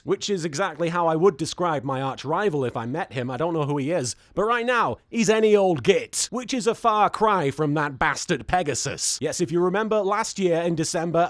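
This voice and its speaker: A man's voice: high voice, no room sound, studio quality. A man hosting a comedic pack-opening series, talking casually to viewers in a wry, mock-indignant way with dramatic emphasis.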